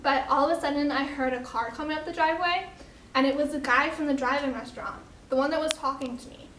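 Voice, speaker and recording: A woman's voice: high-pitched, fairly even intonation, fast talker, a bit bright. A woman playing a naive teenage girl who rambles casually and hesitantly, peppering her talk with "like". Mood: vague, uneasy. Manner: hesitant teen talk, full of "like". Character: naive, self-absorbed teenage girl obsessed with being cool. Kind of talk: casual rambling monologue in amateur dramatization. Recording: echoey room, faint background noise.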